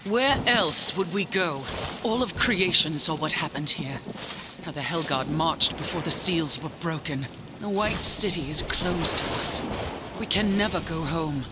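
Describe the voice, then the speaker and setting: A man's voice: fairly high pitch, unhurried, noisy background, natural voice. A man playing a weary, solemn angel warrior of a fallen order in a video game cutscene, speaking formally and portentously. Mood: bitter, mournful. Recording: loud music under the voice.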